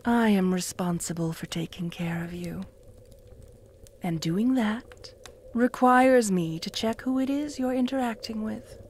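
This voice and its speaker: Woman playing a slow, whispering possessive vampire. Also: mid-range voice, slight background noise, close to an everyday voice. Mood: jealous, possessive. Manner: slow, purring, often dropping to a whisper; taunting, with soft mocking laughs. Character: possessive jealous female vampire, cruel, mocking. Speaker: voice actor in audio roleplay. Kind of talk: audio roleplay addressed to the listener.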